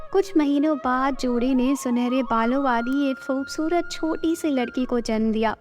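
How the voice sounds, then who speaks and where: A woman narrator reading a scripted bedtime story for children. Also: fairly high pitch. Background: background music.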